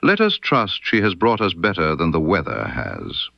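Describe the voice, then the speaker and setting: A man acts out a brilliant Victorian gentleman detective from an audiobook, coolly ironic and precise. His low voice swings expressively through each clipped deduction, in a dry, slightly dull recording. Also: huge pitch swings, normal speed, gravelly, no echo, exaggerated voice. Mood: keen, deductive.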